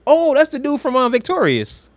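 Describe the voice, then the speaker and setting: A man's voice: very high voice, huge pitch swings, normal speed, dry recording. A man chatting casually as a podcast co-host, slangy and profane, with strong opinions. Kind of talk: casual chat about movies and games.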